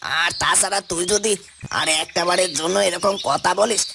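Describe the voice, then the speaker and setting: A man voicing a loyal, short-tempered subject of a fairy-tale king in a cartoon, emphatic and creaky. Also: high voice, normal speed, noisy background. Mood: angry, threatening. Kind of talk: dramatic cartoon dubbing.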